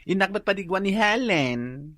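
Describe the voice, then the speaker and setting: A woman's voice: mid-range voice, expressive, moderate pace, a bit bright. A woman with an Ilocano accent playing an effusive, generous neighbour in a comedy radio drama.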